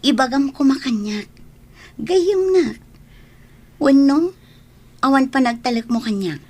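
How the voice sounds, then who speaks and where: A woman acting a caring, nosy young best friend in an Ilocano radio drama, expressive and probing, with an Ilocano Filipino accent. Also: high-pitched, takes it slowly, full character voice. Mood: worried, urging.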